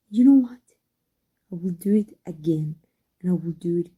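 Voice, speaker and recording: A woman acts out a guarded villainess in love with her rival, in an intimate ASMR audio drama. Her voice is very dark and soft, with a breathy husk and some creak. She speaks haltingly, with tender emotion. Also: lowish voice, low-quality recording. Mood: aching, tender. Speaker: voice actor. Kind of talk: intimate ASMR roleplay acting.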